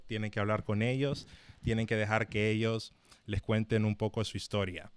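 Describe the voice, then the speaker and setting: A man's voice: fairly low pitch, clear, resonant tone, no room sound. A man hosting a live-streamed interview, talking with many fillers and restarts. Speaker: host.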